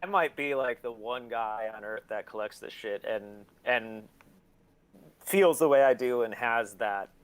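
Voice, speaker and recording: A man's voice: mid-range voice, unhurried. A man being interviewed, telling stories in casual chat with blunt, sweary talk and an easy laugh. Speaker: interview guest, collector and documentary maker.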